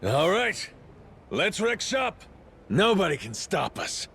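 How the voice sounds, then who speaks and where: A rough, loyal pirate captain from a video game, swaggering and slangy. His gravelly voice sits slightly high and moves around animatedly, over faint background ambience. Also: wildly swooping pitch, takes it slowly, fairly bright tone, slight background noise, exaggerated voice. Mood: defiant, determined. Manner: swaggering, slangy tough talk. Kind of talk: video game cutscene acting.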